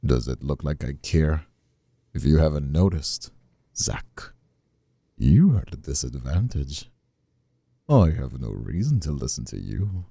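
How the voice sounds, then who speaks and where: Male actor as menacing mobster, rock-bottom pitch, unhurried, smug, mock-polite, gravelly, audio roleplay villain. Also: very animated, warm tone, heavily acted. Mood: taunting. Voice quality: gravelly, husky, very low. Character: menacing, taunting mobster brother, escaped convict and crew leader. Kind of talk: scripted audio roleplay acting, ASMR.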